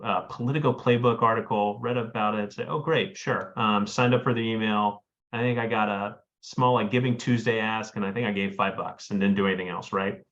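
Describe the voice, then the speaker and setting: Guy from florida on a webinar call, lowish voice, dull muffled audio, lots of ums. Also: a bit bright. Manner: rambling, full of fillers and restarts. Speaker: webinar panelist. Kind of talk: casual panel discussion.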